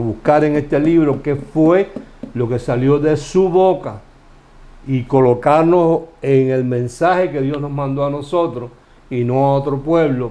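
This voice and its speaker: A man from Cuba with a Cuban Spanish accent, explaining Bible passages in a relaxed study conversation, asking questions and restarting phrases as he goes. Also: mid-range voice. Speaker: Bible teacher.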